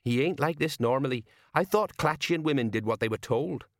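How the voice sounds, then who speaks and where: A man acts out a bumbling, earnest police sergeant from a storybook. He drops his letters and talks in a chummy, ingratiating way, with the pitch pushed up and a dry, close sound. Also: expressive, a little fast, no room sound, close to an everyday voice. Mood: worried. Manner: colloquial, dropped letters, ingratiating.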